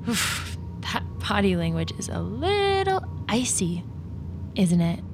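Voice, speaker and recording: A woman's voice: mid-range voice, big pitch swings, takes it slowly, noisy background. An actress playing a catty, gossipy mean-girl woman with a snide, slangy drawl in an audio drama. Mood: mocking. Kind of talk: audio fiction drama acting. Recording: music clearly underneath.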